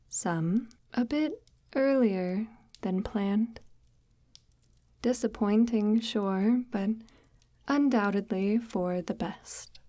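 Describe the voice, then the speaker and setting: A sinister forest witch, acted by a woman in an intimate audio roleplay, speaks extremely slowly in a mellow, breathy half-whisper. She is formal and deliberate, devout about her woods and condescendingly tender toward her captive. The sound is close and dry. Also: medium pitch, no room sound. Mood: solemn, resigned. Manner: formal, measured, deliberate, gently patronizing. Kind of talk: ASMR audio roleplay, slow intimate monologue.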